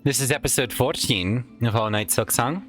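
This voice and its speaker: A man's voice: mid-range voice, a little fast, fairly bright tone, faint music behind. A man casually commenting while playing a video game, chatty and rambling with some swearing, his voice tired from a lot of recent use. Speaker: let's play host.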